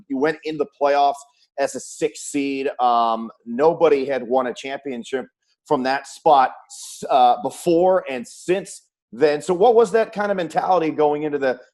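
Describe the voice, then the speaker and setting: A man with a medium-pitched voice hosts a sports chat. He speaks conversationally and builds up to his questions in a measured way. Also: a little mellow. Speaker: host, sports announcer.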